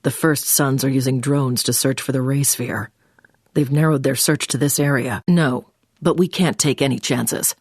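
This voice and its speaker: An actress playing a brisk, commanding intelligence agent in a video game, giving mission orders in a businesslike, clipped way. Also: female voice, a bass voice, steady tone, husky, dry recording, studio quality, full character voice. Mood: businesslike, urgent. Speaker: mission handler over the phone. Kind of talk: scripted video game voice acting.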